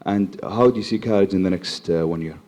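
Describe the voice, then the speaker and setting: A hoarse man with a Kuwaiti accent, voice worn by the flu, speaks earnestly from the audience. His tone is low and mellow as he praises the speaker and asks a question. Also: a little fast, a little hiss or hum. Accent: Kuwaiti English. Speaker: audience member asking question.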